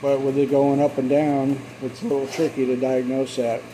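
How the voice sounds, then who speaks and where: Plumber explaining drain work, flat voice. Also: male voice, mid-range voice, moderate pace, a little hiss or hum, low-quality recording. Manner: relaxed, explanatory, trails off with fillers. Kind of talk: casual how-to commentary while working. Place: basement utility area, indoors.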